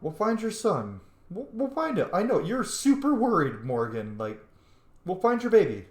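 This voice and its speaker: A man's voice: high-pitched, sing-song, normal speed. A man hosting a roleplay playthrough with casual, informal commentary, talking back to the characters. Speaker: gaming channel host playing a roleplay. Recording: echoey room.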